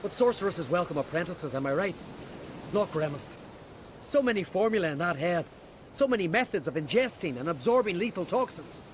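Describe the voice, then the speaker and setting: A grumbling druid from a fantasy video game. He is gossipy and resentful, in a fairly high male voice, with a faint rumbling noise behind him. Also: animated, a little fast. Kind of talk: video game character acting.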